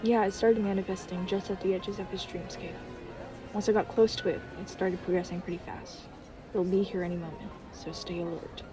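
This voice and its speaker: A woman playing a sarcastic, gruff but protective dream watcher in an audio roleplay, with a brusque manner. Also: lowish voice, level tone, brisk, recorded from a distance, music in the background, close to an everyday voice. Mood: wry, alert.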